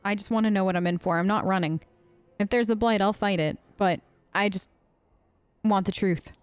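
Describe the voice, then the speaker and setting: Lady doing role-play let's play, chatty musing, medium pitch, makes it up as she goes. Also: moderate pace, smooth, no echo, soft background music. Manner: conversational, musing. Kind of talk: improvised role-play gaming commentary.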